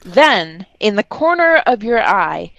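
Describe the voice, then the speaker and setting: A woman's voice: medium pitch, big pitch swings, slow, vocal fry, fairly bright tone, no room sound, muffled recording. A woman narrating a tabletop role-play podcast as game master, theatrical and slangy in her delivery.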